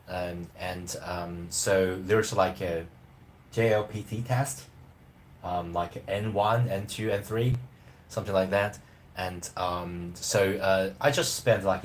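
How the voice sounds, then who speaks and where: Man, 30s, native Chinese speaker, deep voice, loose rambling live-stream host, faint room hum. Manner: rambling, hesitant, loose phrasing. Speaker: language teacher live-streaming. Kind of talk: casual live-stream chat.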